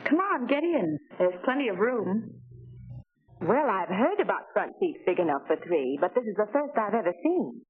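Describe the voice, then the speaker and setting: A woman's voice: medium pitch, very animated, normal speed, faint music behind, lightly acted. An actress playing a well-bred, admiring lady car shopper, genteel and carefully enunciated, in 1940s promotional film style. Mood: pleased, admiring. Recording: tinny 1940 film soundtrack.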